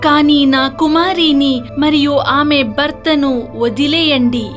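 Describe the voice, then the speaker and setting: A jealous, scheming fairy-tale queen , put on by a woman narrator, gives commands slowly in a high-pitched voice. Music plays underneath. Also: fairly even intonation, fairly bright tone. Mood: commanding. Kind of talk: children's storybook character voice.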